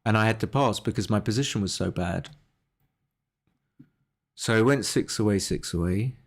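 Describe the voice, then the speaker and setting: Low-pitched man thinking aloud on a livestream. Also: moderate pace. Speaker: livestreamer analysing his backgammon games. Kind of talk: casual live game commentary.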